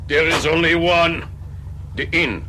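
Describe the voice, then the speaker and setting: An actor playing a friendly small-town taxi driver in a radio drama, folksy and cheerful. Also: male voice, fairly high pitch, fairly even intonation, slow pace, noisy background. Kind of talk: 1970s radio drama acting.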